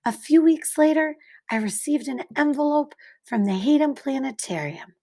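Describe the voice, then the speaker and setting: A woman reading a children's picture book aloud like a teacher, with deliberate, expressive phrasing and dramatic pauses. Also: high voice.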